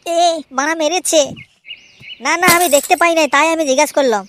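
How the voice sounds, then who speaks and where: Nosy, hapless village official acted by a man, high cartoon voice, hesitant muttering, rural West Bengal accent, noisy audio. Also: brisk. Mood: flustered. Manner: hesitant, meddling, muttering crude asides. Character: bumbling, nosy male village census surveyor. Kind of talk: comic cartoon voice acting.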